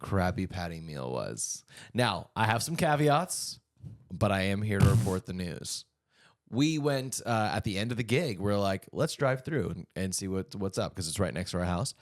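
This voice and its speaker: A man's voice: low voice, sing-song, normal speed. A man co-hosting a podcast, chatting casually and telling playful, jokey stories. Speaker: podcast co-host. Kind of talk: casual chat.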